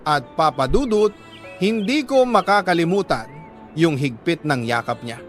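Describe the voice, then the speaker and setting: A man with a Filipino accent narrating listeners' letters as a storyteller, earnest and sentimental in dramatic, deliberate phrases. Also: fairly high pitch, lively intonation, faint music behind.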